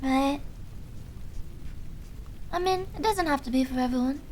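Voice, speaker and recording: A young woman streaming, chatting softly and hesitantly with viewers with many fillers. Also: sits high, a little slow, a little hiss or hum. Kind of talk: casual chat with viewers. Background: faint background music.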